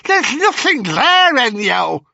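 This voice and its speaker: A man voicing a frantic, greedy ancient crone, with a shrill, screeching voice pushed far above his own, slow and dull in sound. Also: sing-song, gravelly, sharp tone, no room sound, heavily acted. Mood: shrieking.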